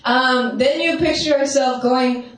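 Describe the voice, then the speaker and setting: A woman's voice: sits high, fairly even intonation, unhurried, recorded from a distance. Woman teacher in an echoey hall. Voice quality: strong, carrying voice. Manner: guides listeners through visualizations, often checking in with "right?". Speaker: spiritual teacher. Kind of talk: meditation teaching talk. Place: large echoey meditation hall. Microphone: speaking without a microphone. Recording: roomy hall echo, voice off-mic.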